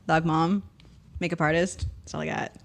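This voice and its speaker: A woman in her early thirties chats casually and keeps her answers brief. Her voice is on the low side. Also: flat delivery, moderate pace, gravelly. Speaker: podcast panelist.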